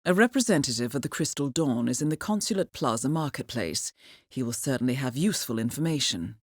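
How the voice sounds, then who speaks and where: A woman playing a cool, composed intelligence handler in a video game, giving mission briefings in a measured, formal, dryly witty manner. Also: very deep voice, lively intonation, no room sound, clean studio sound. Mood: composed, businesslike.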